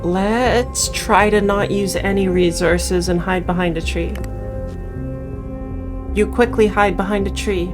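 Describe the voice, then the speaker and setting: Unhurried woman narrating a horror game. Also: mid-range voice, steady tone, music in the background. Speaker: player narrating a game playthrough. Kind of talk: storytelling read-aloud of game text with casual commentary.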